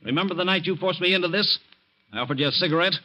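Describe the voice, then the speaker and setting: An actor in a 1940s-style radio drama playing a hard, vengeful wronged safecracker with an American accent and tough, clipped talk. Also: male voice, sits high, rapid-fire, dull audio, close to an everyday voice. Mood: coolly accusing. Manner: tough-talking, clipped commands.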